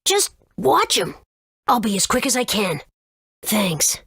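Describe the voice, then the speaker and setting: A woman's voice: medium pitch, big pitch swings, slow pace, creaky, fairly bright tone, a little hiss or hum, heavily acted. A woman voicing a scrappy, caring young boy in a video game, speaking in a casual, earnest way. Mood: casual, worried.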